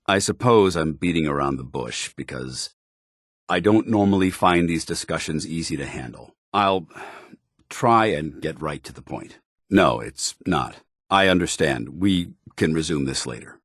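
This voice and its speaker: Stern earnest soldier in a video game, super low husky voice, formal and measured. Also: male voice, expressive, a bit bright, no echo, studio quality, heavily acted. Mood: earnest, guarded. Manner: formal, measured military diction. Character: stern, dutiful, earnest armored military paladin, male soldier. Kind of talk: video game voice acting, companion dialogue.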